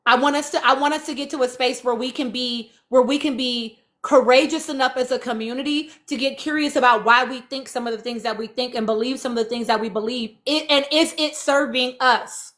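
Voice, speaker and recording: Woman live host w a high bright voice, really emphatic, repeats herself to drive it home. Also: steady tone, brisk, few pauses. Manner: emphatic, repeats phrases for stress, urging listeners. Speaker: live-stream host reading and answering audience comments. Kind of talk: impassioned informal live commentary.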